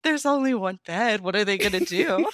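A woman's voice: medium pitch, animated, moderate pace, a bit bright, dry recording, studio quality. A woman guest chatting casually and telling stories in a gushing, self-mocking way. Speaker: interview guest, fan fiction audio reader. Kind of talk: casual animated storytelling.

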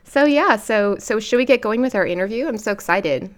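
A woman's voice: mid-range voice, lively intonation, brisk. A woman hosting a casual podcast chat, bubbly and chatty and laughing often. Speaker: podcast host.

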